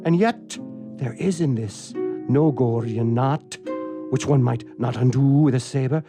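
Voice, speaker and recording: Playful theatrical guy, bit of gravel in the voice, clear harp plucking behind him. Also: mid-range voice. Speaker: host of a radio theatre show. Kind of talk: casual banter and poetry reading. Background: plucked harp music.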